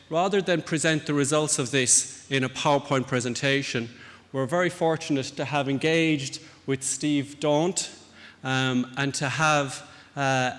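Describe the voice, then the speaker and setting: A man giving a formal, somewhat halting introduction to an audience as an event presenter. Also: a little high, fairly bright tone. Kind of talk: live introduction speech to an audience. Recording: echoey hall.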